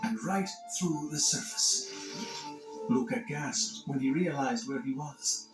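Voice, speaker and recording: A man's voice: medium pitch, slow pace. Echoey male storybook narrator over music. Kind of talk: scripted children's read-along narration.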